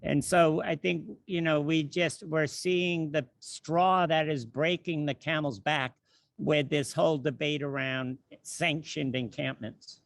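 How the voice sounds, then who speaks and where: A man's voice: a little high, steady tone, a little slow, creaky, dry recording. An older man speaking off the cuff as an advocate on a panel, emphatic and exasperated as he makes his case. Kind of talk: impassioned unscripted advocacy talk.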